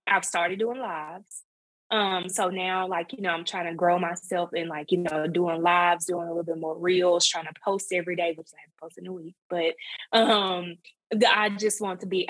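A woman's voice: on the low side, breathy edge, dry recording, poor audio. A woman speaking as a guest in an interview, chatting loosely and filling her talk with "um" and "you know". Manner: rambling, full of fillers like "um" and "you know". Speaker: guest, content creator. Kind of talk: casual interview chat.

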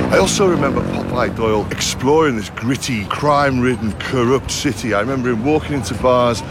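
A man's voice: lowish voice, noisy background. A middle-aged man from Liverpool with a Scouse accent, presenting a travel documentary in a chatty way that talks straight to the viewers. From Liverpool, England. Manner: chatty, direct address to viewers.

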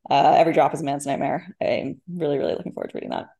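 A woman speaking as a guest author in a casual interview, thoughtful and halting, often correcting herself mid-sentence. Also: low-pitched, vocal fry, dark tone. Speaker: guest author, short story writer. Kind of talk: casual literary interview chat.

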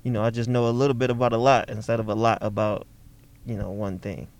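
A man's voice: lowish voice, fast talker. A young comedian from the Detroit area, chatting casually in an interview and acting out the people in his stories. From Detroit area, Michigan. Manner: animated storyteller, acts out voices, laughs often. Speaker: comedian guest.

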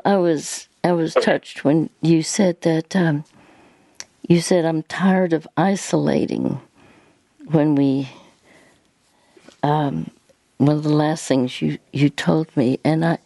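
An older woman hosting a radio counseling show, speaking gently and haltingly with many pauses and fillers. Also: low-pitched, no room sound.

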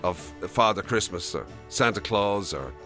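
A man's voice: fairly low pitch, animated, moderate pace, loud music behind the voice. A man with an Irish accent co-hosting a breakfast radio show in casual, bantering chat, often circling back over his words. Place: radio studio.

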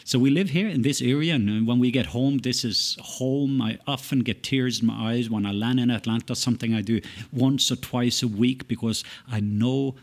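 A man's voice: medium pitch, moderate pace. A middle-aged man from Norway, a ministry leader chatting in an interview, enthusiastic, laughing often and restarting sentences. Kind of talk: casual interview chat.

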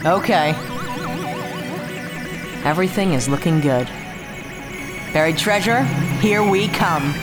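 Low-pitched, brisk woman spaceship pilot. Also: expressive, music in the background. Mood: businesslike. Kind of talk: sci-fi audio drama acting.

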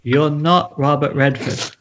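A man's voice: fairly high pitch, fairly even intonation, takes it slowly, highs cut off, background noise. A man playing a blunt, erratic horror writer turned director in a comedic table read over an internet call. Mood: offhand, blunt. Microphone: remote video-call microphone.

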